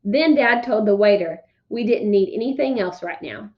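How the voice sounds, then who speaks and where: A woman teacher giving instructions and reading aloud to pupils with emphatic, deliberate phrasing. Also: mid-range voice, animated, muffled recording. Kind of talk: instructional read-aloud, scripted narration.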